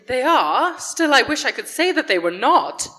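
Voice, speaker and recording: Casting: genteel charity collector, bright lively high voice, earnest, radio drama in a hall. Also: female voice, normal speed, heavily acted. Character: polite, earnest charity missionary lady. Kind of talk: live radio-play acting. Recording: live in echoey church hall.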